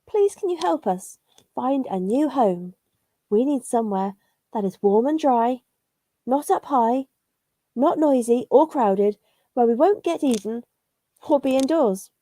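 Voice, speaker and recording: Polite little storybook worm played by a woman: high, soft-toned, sing-song, pleading for help. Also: expressive, a little slow, pauses often, no room sound. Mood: politely pleading. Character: small homeless worms, polite and pleading, storybook.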